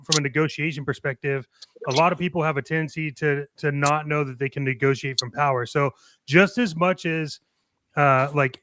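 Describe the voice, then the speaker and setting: A man's voice: fairly high pitch, fairly bright tone, clean tone, no echo. A man talking casually on a panel, making his points by acting out little bits of dialogue. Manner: illustrates points with acted-out dialogue. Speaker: podcast panelist.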